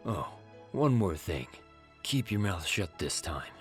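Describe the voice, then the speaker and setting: Male actor as suspicious young authority figure, deep, curt, commanding, comic dub, faint music. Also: sing-song, takes it slowly, full character voice. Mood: stern.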